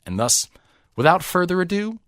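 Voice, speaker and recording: A man's voice: sits high, sing-song, moderate pace, a bit bright. A man hosting a podcast, introducing and interviewing a guest. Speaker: podcast host. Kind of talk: scripted intro and interview questions.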